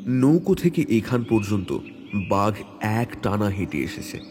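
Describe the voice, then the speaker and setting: A man reading a hunting adventure as a dramatic audio story, in a measured, suspenseful way. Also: on the low side, sing-song, noisy background. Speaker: story narrator. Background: background music.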